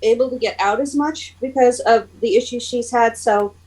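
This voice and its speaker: A woman's voice: a little high, normal speed, a little mellow. Woman sharing casually, distant mic. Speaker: congregant sharing. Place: online group video call. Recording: distant mic on video call.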